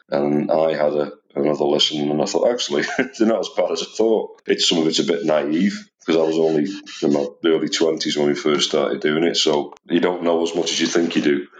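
A man in his forties with a deep, slightly gravelly voice, answering interview questions in a modest, reflective way. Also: roomy sound, low-quality recording. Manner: modest, reflective, with many uh and restarts. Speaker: blues musician guest.

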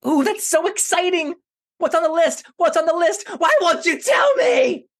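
A woman voicing an excitable, childish young male monkey in a children's cartoon, giddy and whiny. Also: very high voice, moderate pace. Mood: excited. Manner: giddy, whiny, easily distracted.

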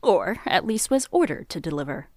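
An actress playing a worldly, teasing treasure merchant who narrates an audio drama, sly, confiding and theatrical. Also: female voice, on the low side, big pitch swings, normal speed, darkish tone, no echo, studio quality. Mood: confiding, wry.

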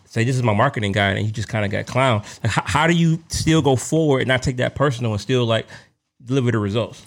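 A man's voice: medium pitch, quick pace. A man hosting a podcast, asking long questions with lots of restarts. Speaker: podcast host. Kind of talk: interview questions.

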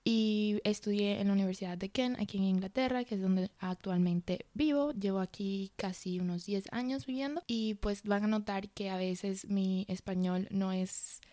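A woman's voice: medium pitch, moderate pace, hardly any pauses, mellow, no echo. A woman in her early twenties with a mixed Madrid and Dominican accent, talking casually and reflectively as a podcast host, with the odd English word. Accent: mixed Madrid and Dominican Spanish. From Madrid, Spain, with Dominican parents. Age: early 20s. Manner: chatty and reflective, drops in occasional English words. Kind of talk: casual personal monologue.

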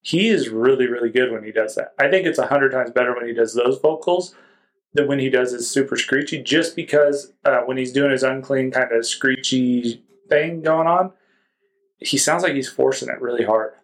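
A man's voice: medium pitch, moderate pace. A man reviewing music in a casual podcast chat, speaking informally and feeling his way through descriptions.

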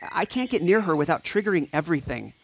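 A woman's voice: fairly low pitch, sing-song, fast talker, slight background noise. A woman playing an empathetic, anxious mentor and counselor in a fiction podcast drama, sincere and self-doubting. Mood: distressed.

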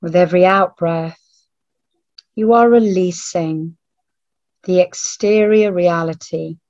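A woman leading a guided meditation in a hushed, soothing manner, leaving long pauses between short phrases. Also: fairly low pitch. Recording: roomy, phone-like narrowband audio.

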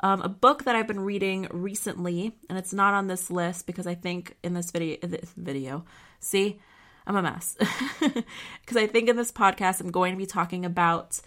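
A woman's voice: fairly low pitch, moderate pace, a bit bright. A young woman talks alone into her podcast mic, with a nasal, congested sound from blocked sinuses. She rambles casually, restarts her sentences and leans on fillers. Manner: chatty and rambling, many fillers and self-corrections. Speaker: podcast host. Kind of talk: casual solo podcast chat.

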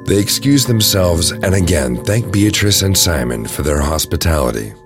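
A man's voice: low voice, animated, moderate pace. A man reading scripted narration for an audio drama. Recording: music under the voice. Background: music.

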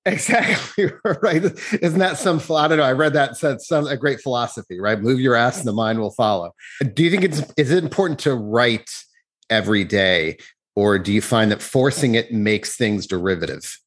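A man with a husky voice asking questions in a casual, conversational interview style. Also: mid-range voice, animated, normal speed.

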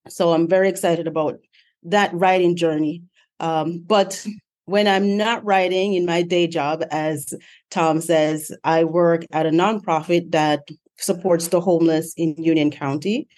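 Chatty woman with a lowish voice. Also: darkish tone. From Jamaica. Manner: chatty, laughs often, stacks up lists. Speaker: guest, author. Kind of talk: casual interview.

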